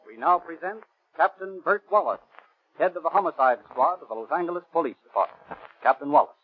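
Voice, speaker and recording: Male vintage radio announcer, American, higher voice, formal sales delivery, narrow tinny recording, music in places. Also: expressive, quick pace. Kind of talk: scripted 1930s radio announcing and advertising.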